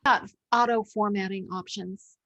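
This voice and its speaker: A woman's voice: medium pitch, fairly even intonation, a little slow, fairly bright tone, dry recording, lo-fi sound. A woman asking questions and responding conversationally as an interviewer.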